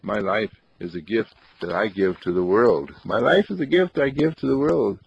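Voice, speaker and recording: A man voicing a gentle, brave boy in a wheelchair who talks in soft, soothing reassurance. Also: fairly low pitch, animated, moderate pace, creaky, husky, exaggerated voice. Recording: dull lo-fi recording.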